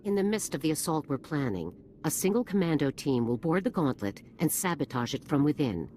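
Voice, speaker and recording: Deep-voiced stern female general. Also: very animated, brisk, dark tone, a little hiss or hum. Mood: authoritative. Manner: commanding, formal, clipped. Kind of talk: video game dialogue acting.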